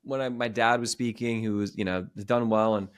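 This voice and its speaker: A man in his thirties hosting a podcast, chatting casually in a quick, rambling way with many restarts. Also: lowish voice, fairly even intonation, clear, resonant tone. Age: 30s. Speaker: podcast host. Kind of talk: casual interview chat.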